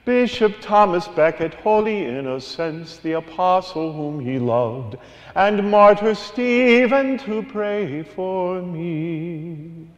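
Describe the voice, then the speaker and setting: A male priest giving a homily, singing a long list of saints and then explaining it in a relaxed, conversational way. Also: sits high, takes it slowly, in a large hall, dull audio, faint music behind. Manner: sings a long cumulative list, then talks plainly and conversationally. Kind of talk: homily with a sung carol.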